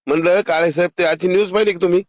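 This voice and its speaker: High, fast-talking blunt Marathi man on a call. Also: fairly even intonation, no room sound, dull audio. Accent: Marathi, Maharashtra. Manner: blunt, foul-mouthed, insistent. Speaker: caller making political complaints. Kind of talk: recorded phone conversation.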